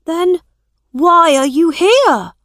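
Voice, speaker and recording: A woman's voice: very high voice, expressive, very slow, a bit bright, dry recording, clean studio sound, pitched above the performer's own voice, exaggerated voice. A woman doing an earnest, eager young boy.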